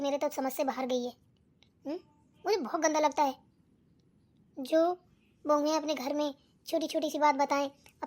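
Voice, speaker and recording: A woman voicing a scolding, suspicious elderly mother-in-law in a family cartoon, nagging and sharp-tongued. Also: high voice, lightly acted. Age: older. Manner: nagging, sharp-tongued, peppered with challenging tag questions. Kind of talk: Hindi family cartoon acting.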